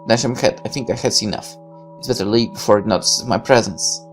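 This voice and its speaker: A woman's voice: very deep voice, fast talker, soft background music. A woman playing a visual novel, reading the text aloud and dropping irreverent, sarcastic asides. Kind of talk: game playthrough, reading text aloud with offhand commentary.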